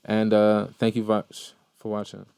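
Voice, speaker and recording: A man's voice: lowish voice, monotone, a little slow, vocal fry, darkish tone, smooth, no room sound. A man who grew up in Maryland, hosting a podcast and giving casual horse racing commentary, rambling with many fillers and repeated words. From Maryland, USA. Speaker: podcast host.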